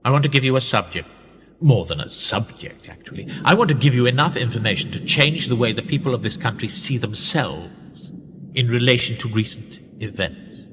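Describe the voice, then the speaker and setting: An actor playing a sly, persuasive older conspirator in a radio play, theatrical and insinuating, with husky, drawn-out, often hushed phrases. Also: male voice, mid-range voice, expressive, a little hiss or hum, close to an everyday voice. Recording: echoey hall-like reverb.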